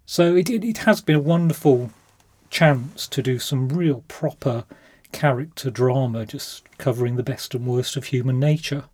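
A male producer speaks warmly of his project on a podcast, pausing on ums. His voice is husky and mid-pitched. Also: no room sound. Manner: enthusiastic, hesitant, many ums. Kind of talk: casual podcast chat.

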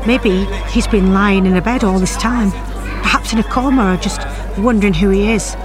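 A woman's voice: mid-range voice, moderate pace, music in the background, a crowd in the background, lightly acted. An actress playing a middle-aged mother, anxious and grieving for her missing son, in an earnest audio drama style. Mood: weary, hopeful. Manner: emotional, earnest. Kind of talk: audio drama acting with narrated monologue.

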